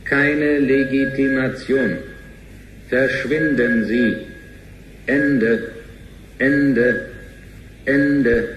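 A man voicing a cold, officious bank computer in a radio play, clipped, monotone and telegraphic. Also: mid-range voice, extremely slow, pauses often, roomy sound, noisy background. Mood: stern, impersonal.

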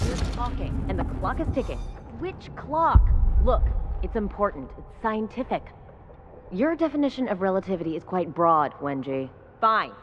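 A woman's voice: mid-range voice, very animated, normal speed, noisy background, close to an everyday voice. A woman playing a haughty, impatient scientist in a video game, speaking in a clipped, scornful, precise manner. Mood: self-assured. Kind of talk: video game dialogue acting. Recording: slightly dull game audio under music.

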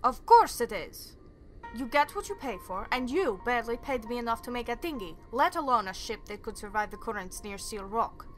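A woman plays a shrewd fantasy forge master with pointed, formal speech. Her voice is fairly high, and music runs clearly behind it. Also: expressive, moderate pace, natural voice. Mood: scornful. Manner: formal, archaic phrasing, pointed. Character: shrewd, sharp-tongued female shipwright forge master. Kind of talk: fan-made fantasy game drama acting.

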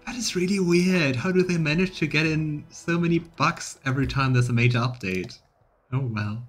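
A man's voice: on the high side, moderate pace, soft background music. A man in his thirties playing a game on stream, commenting and reading its dialogue aloud.

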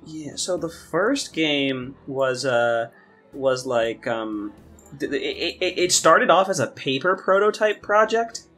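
A young man chatting casually and rambling, often halting and repeating his words. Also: fairly high pitch, lively intonation, fairly bright tone. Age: young adult. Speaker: podcast guest and game maker. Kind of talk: casual chat. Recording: music playing under the talk. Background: guitar music.

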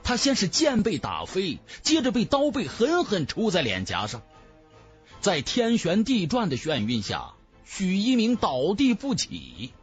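A male audiobook narrator tells a fantasy tale with theatrical emphasis. His pitch sits high and swings widely, and faint music plays underneath. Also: wildly swooping pitch, unhurried, slightly rough. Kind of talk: dramatic scripted narration of a fantasy novel.

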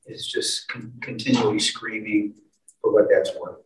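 A man speaking as a defense attorney in court, questioning formally and a little haltingly. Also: on the low side, fairly even intonation. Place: courtroom, picked up from afar. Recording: very echoey courtroom, distant mic, faint noise.

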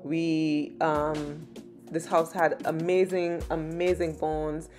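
A woman from Barbados in her thirties, narrating her vlog in a relaxed, chatty way with many "um"s. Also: sits low, slow pace, faint music behind. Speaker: lifestyle vlogger. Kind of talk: casual vlog voice-over.

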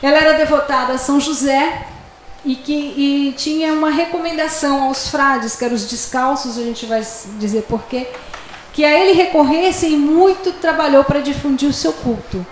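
A middle-aged woman with a high voice teaches from a handheld microphone, keeping it conversational and tossing in little check-ins to her listeners. The room is small and echoey, with a faint hum of ambience behind her. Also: normal speed. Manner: chatty, asides with "né?". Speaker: lecturer. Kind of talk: classroom lecture with slides. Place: small lecture room with projector screen.

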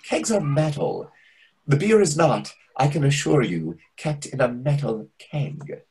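A man in his thirties playing a fussy, condescending waiter with a deliberate, pompous manner in a comedy reading over a video call. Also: fairly high pitch, very animated, a little mellow, slightly rough, heavily acted. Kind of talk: readers theatre comedy acting. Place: indoor room on a video call. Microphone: video call microphone.

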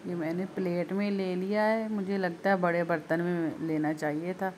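A woman's voice: fairly low pitch, fairly even intonation, normal speed, a little mellow, slight background noise. A woman demonstrating a recipe step by step in her home kitchen, speaking patiently to viewers and repeating her instructions. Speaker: home cooking video host.

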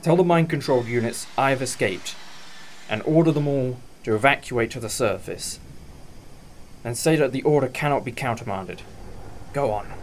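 A man's voice: medium pitch, moderate pace, slight background noise, natural voice. A man playing a decisive, protective time-traveller in a fan audio drama. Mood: calm command.

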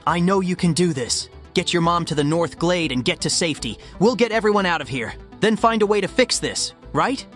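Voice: mid-range voice, expressive, brisk, few pauses, soft background music, lightly acted. A performer voicing a cocky but caring young hedgehog hero in a comic dub, casual, slangy and confident. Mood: reassuring.

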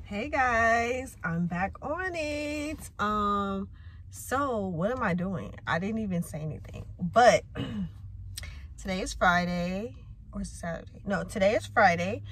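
A woman's voice: medium pitch, expressive, takes it slowly. A young woman in her twenties chatting casually to a phone camera from inside a car, thinking aloud about her plans. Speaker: vlogger. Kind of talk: casual vlog chat. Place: parked car. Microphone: phone camera at arm's length.

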